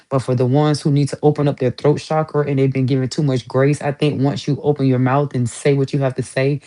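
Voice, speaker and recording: A woman's voice: extremely low pitch, dark tone. A woman giving a casual tarot reading to her viewers, chatty and emphatic, swearing casually and repeating herself for emphasis. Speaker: tarot reader.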